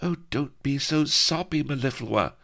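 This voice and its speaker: A man voicing a prissy, archaic-speaking young English noblewoman in a high, affected falsetto. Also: unhurried, gravelly, fairly bright tone. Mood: scornful. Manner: high falsetto, affected old-fashioned speech.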